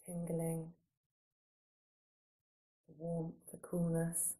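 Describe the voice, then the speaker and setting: A woman guiding a body scan meditation in a calm, gentle, unhurried manner, giving slow soothing instructions with long pauses. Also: low-pitched. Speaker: meditation guide.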